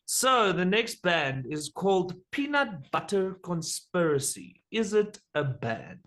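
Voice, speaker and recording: A South African man with Afrikaans as his first language, hosting a relaxed, joking interview. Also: high-pitched, slow pace. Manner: relaxed, joking, swears casually. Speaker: interview host. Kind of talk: casual interview.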